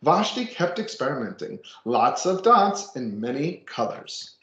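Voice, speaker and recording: Male storybook reader, animated pitch, dramatic emphasis, casual asides, muffled roomy recording. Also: measured pace. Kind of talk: children's picture-book read-aloud with asides.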